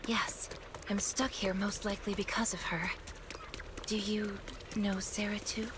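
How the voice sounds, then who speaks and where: An actress playing a drowsy, fatalistic young woman fighter in a video game, with a soft voice. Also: mid-range voice, little pitch movement, a little slow, noisy background, close to an everyday voice. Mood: sleepy, calm. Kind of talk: English video game voice acting. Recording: faint music behind.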